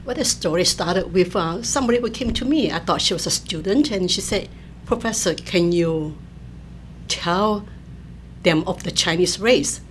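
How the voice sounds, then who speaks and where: An older woman from Malaysia, a professor being interviewed, explaining in a measured way with many fillers. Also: lowish voice. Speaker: anthropology professor, guest. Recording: faint ambient noise.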